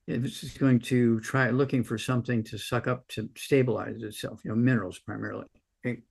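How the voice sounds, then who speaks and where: A man's voice: mid-range voice, steady tone, brisk, gravelly, a little mellow, husky. A man in his eighties giving casual health advice on a call-in show, rambling and folksy, ending points with "okay?". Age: 80s. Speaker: supplement advocate doctor answering callers.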